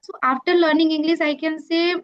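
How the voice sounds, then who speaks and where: A woman's voice: high-pitched, fairly even intonation, brisk, muffled recording. A woman with an Indian accent chatting casually in non-native English, often checking in with short tags. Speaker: YouTuber and online English teacher. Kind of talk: casual English practice conversation.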